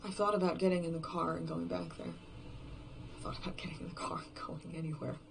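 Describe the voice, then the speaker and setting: A performer voicing a despairing, obsessive lone survivor in a horror fiction podcast, brooding and halting in a confessional monologue, often dropping toward a whisper. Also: medium pitch, fairly even intonation, gravelly, background noise, lightly acted. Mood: hopeless, drained.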